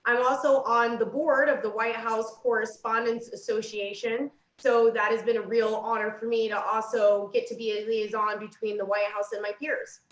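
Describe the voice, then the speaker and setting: Woman, from Kansas, young adult, journalist guest, articulate, slightly high voice, roomy echo. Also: little pitch movement, moderate pace, few pauses, muffled recording. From Kansas, United States. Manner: friendly, articulate, lightly teasing. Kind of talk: panel discussion answers.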